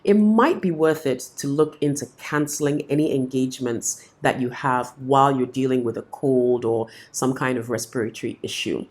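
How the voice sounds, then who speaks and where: A woman's voice: extremely low pitch, moderate pace, hardly any pauses, darkish tone. A woman, a singer and vocal coach with a cold, giving practical tips to camera in a friendly, explanatory way. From Ghana. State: has a cold. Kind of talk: instructional talk to camera.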